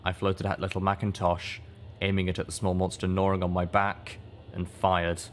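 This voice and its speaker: Low-pitched man narrating a dramatic story. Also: completely flat delivery, normal speed, a little hiss or hum. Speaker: audiobook narrator. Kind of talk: scripted first-person fiction narration.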